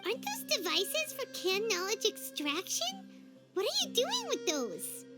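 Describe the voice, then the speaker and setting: A very high, childlike fairy companion from a video game. The voice swoops with animation, fretful and easily indignant on a friend's behalf. Music plays loudly behind it. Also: female voice, wildly swooping pitch, measured pace, full character voice. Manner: refers to self in third person, indignant. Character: small childlike female fairy companion, excitable, protective, fretful.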